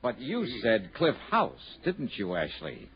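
Wry radio detective, lively pitch swings. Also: male voice, unhurried, full character voice. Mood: wry, accusing. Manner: wry, needling, self-assured. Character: shrewd, wry, tough male private investigator. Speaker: lead actor in radio detective drama. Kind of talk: 1960s radio drama acting. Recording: muffled old radio broadcast recording.

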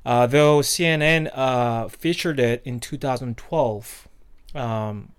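A man's voice: mid-range voice, slow pace, clear, resonant tone. Man, loose rambling chat. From South Korea. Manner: loose and rambling, many fillers and restarts. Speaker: podcast co-host. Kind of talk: casual chat.

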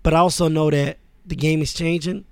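A man born in France and raised in Queens, speaking as a guest in a relaxed, casual interview. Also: on the high side, brisk, clean tone, dry recording. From born in France, raised in Queens, New York. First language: Arabic. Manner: relaxed, laid-back, with many fillers. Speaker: guest, music manager.

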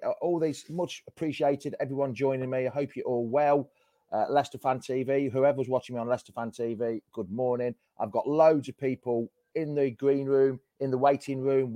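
A man hosting a casual fan chat on a video call, his voice hoarse, greeting each guest by name in a chatty way. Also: medium pitch, mellow, no echo. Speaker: host.

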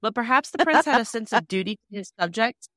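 A woman's voice: a little high, expressive, brisk, pushed and bright, no room sound. A woman co-hosting a podcast, discussing a story casually with wry, dry humour. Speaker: podcast co-host.

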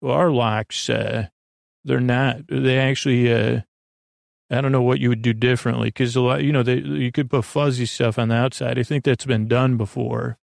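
A middle-aged American man hosting a sleep podcast in a soothing, meandering monologue, halting, interrupting himself and trailing off. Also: medium pitch, fairly even intonation, gravelly, no room sound, studio quality.

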